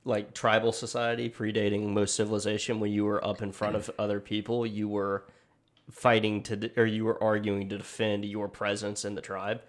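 Male podcast host, slightly low voice, loose and self-deprecating. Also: level tone, moderate pace. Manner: rambling, filler-heavy, self-deprecating. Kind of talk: casual podcast chat.

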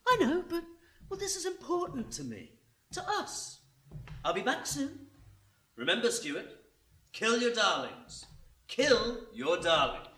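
A man's voice: very high voice, big pitch swings, unhurried, pauses often, sharp tone, exaggerated voice. A man playing a pushy, sales-hungry young literary agent in a radio play, gushing and stammering insistently. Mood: breezy, insistent.

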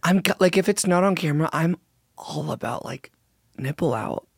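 A hoarse podcast co-host in casual chat, joking and rambling with lots of slang. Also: female voice, low voice, expressive, normal speed, no room sound, clean studio sound.

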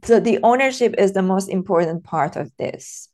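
A woman's voice: medium pitch, lively intonation, normal speed, darkish tone. A woman from Iran hosting a casual podcast interview, thoughtful and hesitant, with many fillers and restarts.

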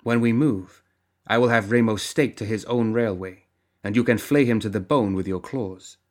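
A man voicing a composed, commanding woman who leads a crime syndicate, stern yet compassionate, speaking in a measured, formal way in an audiobook. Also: lowish voice, fairly even intonation, natural voice. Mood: resolute. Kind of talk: audiobook character acting.

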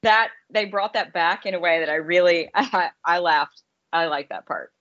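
A woman's voice: lowish voice, fairly even intonation, moderate pace, fairly bright tone, lo-fi sound. A woman co-hosting a casual podcast about films, explaining her opinions in an articulate, conversational way. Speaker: podcast co-host and film critic. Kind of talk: casual podcast film discussion.

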